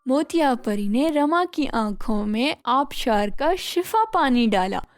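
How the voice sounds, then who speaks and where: A woman narrating a scripted children's bedtime story in an expressive, deliberate way. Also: high-pitched, no room sound, studio quality.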